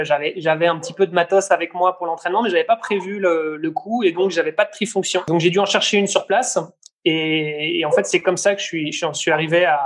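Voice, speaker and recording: A man, answering interview questions hesitantly with many fillers and restarts. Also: fairly high pitch. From Belgium. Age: 20s. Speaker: guest, brand founder.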